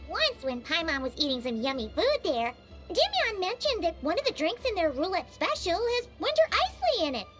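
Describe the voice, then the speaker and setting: A tiny cocky fairy with an extremely high, squeaky, childlike voice that bounces all over. She is excitable and boastful, a video game sidekick, with loud music behind her. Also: moderate pace. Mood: proud. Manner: boastful, third-person self-reference.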